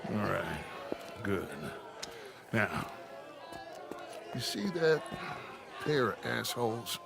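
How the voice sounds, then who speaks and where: An actor playing a commanding middle-aged outlaw gang leader in a dramatic video game, gravelly and theatrical. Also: male voice, medium pitch, animated, music in the background, heavily acted. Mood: wary, conspiratorial. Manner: grandiose, deliberate, commanding.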